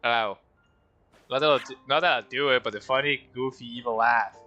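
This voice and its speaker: Guy streaming, rambly and self-mocking, bright voice, faint music behind. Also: mid-range voice, steady tone, normal speed, dull audio. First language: Danish. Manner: rambling, self-deprecating, talks to himself. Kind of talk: casual game livestream commentary.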